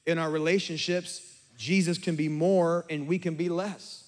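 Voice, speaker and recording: A middle-aged man preaching a sermon as pastor, his voice slightly hoarse, moving from calm teaching to fervent, emphatic preaching. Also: fairly high pitch, moderate pace. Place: church sanctuary with congregation, also streamed online. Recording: long hall reverb.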